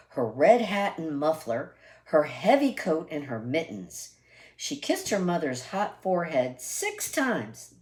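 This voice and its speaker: A woman reading a children's picture book aloud expressively, deliberate and emphatic, with asides to listeners. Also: fairly low pitch, darkish tone. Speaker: read-aloud storyteller.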